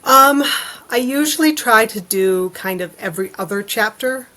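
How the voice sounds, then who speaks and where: A woman's voice: fairly high pitch, animated, normal speed, pushed and bright. A woman talking casually as a podcast guest, in a conversational, informal way. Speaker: podcast guest, illustrator and author. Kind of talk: casual chat.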